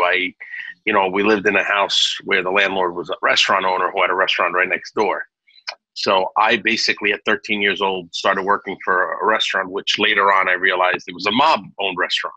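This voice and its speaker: Chatty man from Naples, bright dry voice. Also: sits low, no room sound, lo-fi sound. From Naples, Italy. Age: 40s. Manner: chatty, many restarts and fillers. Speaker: restaurant owner, guest. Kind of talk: casual interview storytelling.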